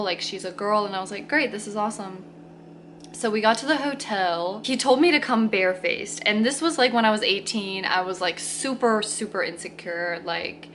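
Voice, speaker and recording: A woman's voice: medium pitch, fairly bright tone, slight background noise. Chatty young woman telling a story. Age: 20s. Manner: chatty, many fillers and restarts, frequent laughs. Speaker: vlogger. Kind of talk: casual storytime chat.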